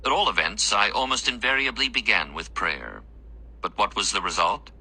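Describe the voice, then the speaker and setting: A man with a low voice reads a devotional text aloud. His tone is very bright and forceful, with lively movement in pitch. The delivery is formal, earnest and measured, as a narrator of written prose. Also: slightly rough.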